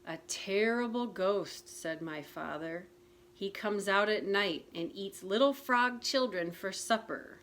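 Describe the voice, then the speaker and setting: A woman's voice: medium pitch, slow, fairly bright tone. A woman reading the part of a frog father giving a spooky warning. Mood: ominous.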